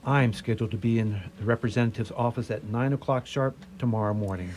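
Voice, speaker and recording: A man's voice: mid-range voice, quick pace, a little mellow, close to an everyday voice. Man playing harried, melodramatic hotel manager. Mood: grave, gossipy. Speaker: actor in a community radio play. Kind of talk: radio theatre acting.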